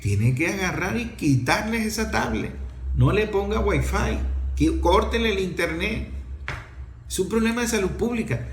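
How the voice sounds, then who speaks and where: A man's voice: high-pitched, lively intonation, moderate pace, echoey room. A middle-aged man with a Venezuelan accent giving an impassioned opinion talk in an emphatic, scolding way, full of rhetorical questions.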